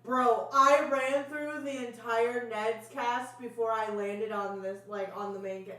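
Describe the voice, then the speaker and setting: A woman's voice: a little high, fairly even intonation, unhurried, sharp tone. A woman in casual, profane group chat, blunt and slangy, recorded roomy and away from the mic.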